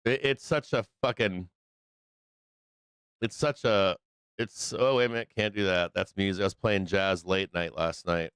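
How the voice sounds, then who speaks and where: A hoarse middle-aged man with a Midwestern American accent hosting a live trading stream, rambling casually, swearing constantly and filling pauses with ums. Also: sits low, steady tone, a bit bright, no room sound. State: hoarse, losing his voice.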